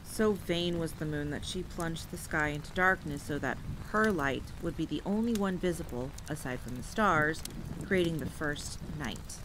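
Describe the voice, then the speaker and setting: Woman acting a wry monster-hunter veteran over rain. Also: moderate pace. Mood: solemn storytelling. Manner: wry, teasing, solemn when storytelling. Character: gruff, exasperated veteran monster hunter telling lore. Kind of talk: audio roleplay acting. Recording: steady rain and rumble behind. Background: rain, campfire ambience.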